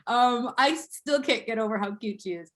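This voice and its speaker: A woman's voice: a little high, moderate pace, a little hiss or hum. A woman in her thirties from Boston, telling her life story as an interview guest, reflective and full of fillers and small laughs. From Boston, USA. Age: 30s.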